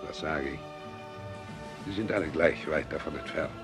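An actor playing an eccentric, cryptic hunchbacked scholar in a radio play, speaking with dry deliberation. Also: male voice, very deep voice, moderate pace, gravelly, over music. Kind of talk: literary radio play acting.

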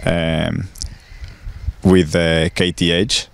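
A young man from Argentina, a graduate student introducing himself outdoors on a handheld mic, calm and hesitant with ums. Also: low voice, drawn-out words, creaky. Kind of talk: on-camera introduction. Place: outdoors by a lake.

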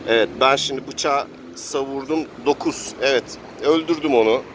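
Gürültülü dış mekânda hikâye anlatan erkek. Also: male voice, mid-range voice, moderate pace. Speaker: game master and player. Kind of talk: improvised narration of a tabletop adventure game. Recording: noisy outdoor recording with traffic ambience.

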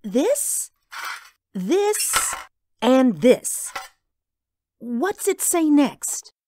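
A woman playing an excited, bossy and impatient little girl in a radio drama. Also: sits high, drawn-out words, a little hiss or hum, exaggerated voice. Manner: impatient, emphatic, gushing.

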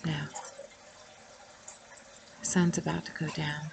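A woman guiding a meditation in a soothing, unhurried way, with long pauses and breathy phrases that trail toward a whisper. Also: deep voice, level tone, a little hiss or hum. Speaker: guided meditation host. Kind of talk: guided meditation narration.

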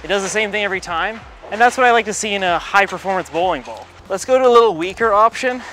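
A man's voice: high voice, lively intonation, fast, pushed and bright. Chatty man reviewing bowling balls. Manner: chatty, muttering play-by-play between throws. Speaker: pro shop ball reviewer. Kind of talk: casual review while bowling. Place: large bowling alley, indoors.

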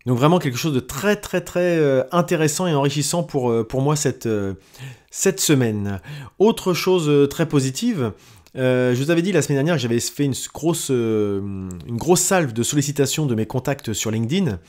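A man's voice: medium pitch, animated, a little mellow. A man, talking through his weekly audio diary with many hesitations and restarts. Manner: halting, many fillers and restarts. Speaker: podcast host.

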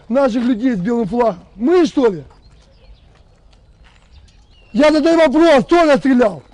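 A man in his thirties from Donbas, a militia commander angrily threatening someone over a phone call outdoors on a road. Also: extremely high pitch. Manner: angry, shouting threats and insults. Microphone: camera a few meters away, on a phone.

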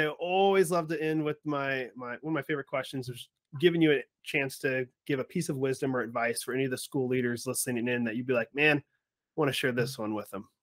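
A young man hosting a podcast over a video call, chatting casually and telling stories with lively acted-out voices. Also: a little high. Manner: animated storyteller, acts out voices in anecdotes. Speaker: podcast host. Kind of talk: casual interview chat. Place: home or office, video call.

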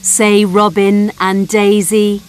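Woman narrator, storybook for toddlers, unhurried sing-song read, mid-pitched. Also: level tone, background noise. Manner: very slow, clear, sing-song reading. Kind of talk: slow storybook narration for small children.

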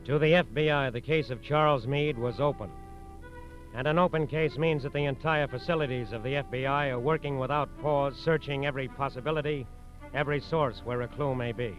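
A man's voice: mid-range voice, fairly even intonation, brisk, close to an everyday voice. Actor as American male narrator, authoritative, formal, vintage radio crime drama, dull recording, faint music behind. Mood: grave, authoritative. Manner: formal, emphatic. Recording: muffled old radio recording.

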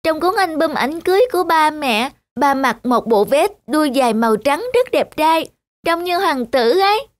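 A woman's voice: high voice, animated, moderate pace. A woman voicing a plaintive, clingy little girl in a cartoon. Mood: resentful. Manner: plaintive, childlike. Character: sad, clingy little girl attached to her father. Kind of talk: cartoon voice acting. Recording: music behind the voice.

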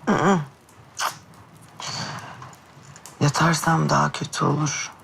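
An actress playing a weary, softly stubborn young woman in love in a television drama, talking in short repeated phrases. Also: deep voice, steady tone, a little fast, gravelly, slightly rough, full character voice. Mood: weary, queasy. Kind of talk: naturalistic TV drama acting.

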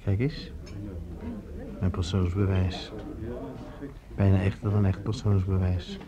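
A man's voice: sits low, little pitch movement, slow, dull audio. An actor playing a breezy, cheeky man living under a false identity, talking in a teasing, casual way in a radio drama. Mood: jaunty, bluffing. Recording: radio recording with café chatter behind.

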